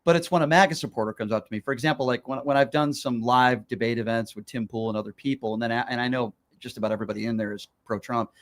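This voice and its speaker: A man hosting a political talk show talks quickly and emphatically, piling up fillers as he goes. His voice sits at a middling pitch, and the delivery is casual and conversational. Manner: emphatic, animated, repeats fillers.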